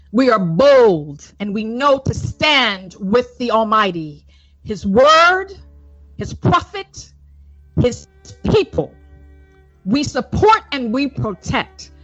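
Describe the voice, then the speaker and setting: A woman evangelist reads a report like a sermon, in emphatic, deliberate, broken phrases, repeating points for weight. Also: a little high, fairly bright tone. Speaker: evangelist reading a prophecy report. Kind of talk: sermon-like scripted report reading. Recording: dull lo-fi audio with music underneath. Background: music.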